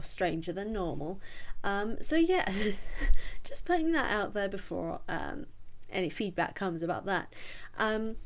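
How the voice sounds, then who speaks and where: A British woman hosting a solo book podcast, chatting casually with a blocked nose from a cold, full of ums and little giggles. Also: medium pitch. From Britain. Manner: chatty and self-deprecating, with frequent ums and giggles. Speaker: podcast host.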